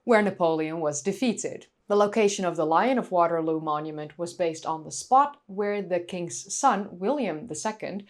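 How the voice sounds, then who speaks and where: Dutch-accented woman with very lively intonation. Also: fairly low pitch, normal speed, few pauses. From North Holland, Netherlands. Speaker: history video presenter. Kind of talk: scripted educational narration with casual asides to viewers.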